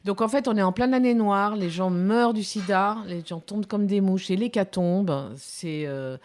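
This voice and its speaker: A woman's voice: mid-range voice, normal speed, darkish tone. A woman in her fifties, a documentary filmmaker, giving reflective interview answers with many hesitations and restarts. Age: 50s.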